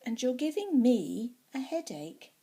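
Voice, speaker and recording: Woman as an exasperated mum, high and expressive. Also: a little slow, husky, full character voice. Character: exasperated mother scolding her small daughter.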